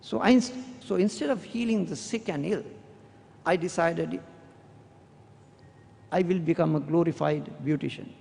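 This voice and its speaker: Gravelly-voiced surgeon giving a formal address. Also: male voice, on the high side, a little mellow. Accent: Sri Lankan English. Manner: measured, deliberate delivery with slow emphatic stretches. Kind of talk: formal prepared speech, reading a long quotation. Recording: long hall reverb.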